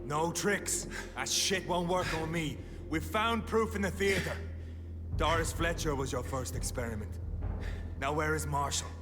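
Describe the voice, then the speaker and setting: A man performing a hardened vampire hunter from a video game. His fairly high male voice is blunt, scornful and menacing, with music playing behind it. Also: a little slow, close to an everyday voice. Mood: accusing, aggressive.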